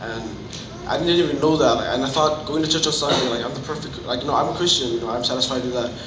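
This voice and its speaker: A man in his twenties from Fiji with a Fijian accent, giving a personal testimony to a group in an earnest, halting way. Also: mid-range voice. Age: 20s. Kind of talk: personal testimony, informal public speaking. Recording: roomy, distant mic, noticeable background noise.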